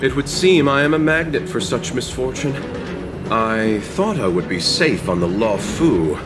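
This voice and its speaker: An actor playing a courteous, composed wandering merchant in a video game, speaking formally and politely. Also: male voice, medium pitch, animated, unhurried, over music, lightly acted. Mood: wry, resigned.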